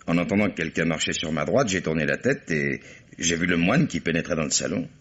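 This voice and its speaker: An actor playing a proper, guarded husband under police questioning in a radio mystery, formal and evasive. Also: male voice, low voice, animated, fairly quick, fairly bright tone, breathy edge, exaggerated voice. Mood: defensive. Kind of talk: French radio mystery drama acting. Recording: dull, band-limited radio drama recording.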